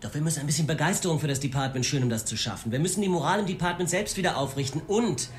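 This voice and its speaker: A male actor playing a brisk, scheming senior police official with a pompous, persuasive delivery in a radio play. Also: on the high side, slight background noise, close to an everyday voice. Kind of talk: German radio play dialogue.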